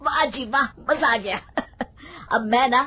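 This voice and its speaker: A woman plays a hearty man who loves his food, in storybook style. The voice is on the high side. He is effusive and admiring, with faint background noise. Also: takes it slowly, bright, forceful tone, heavily acted. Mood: delighted, admiring. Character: hearty, appreciative male dhaba customer. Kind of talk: animated Hindi storybook voice acting.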